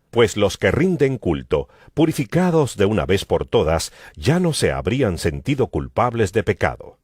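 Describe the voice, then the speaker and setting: A man's voice: deep voice, slightly rough, no room sound, clean studio sound. A man giving a solemn, emphatic dramatized narration of scripture as a Bible narrator. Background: music.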